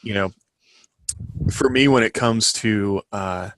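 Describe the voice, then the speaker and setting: A man's voice: lowish voice, slow, a bit bright, no room sound. A man co-hosting a casual podcast, rambling and thinking aloud as he talks. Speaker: podcast co-host and co-author.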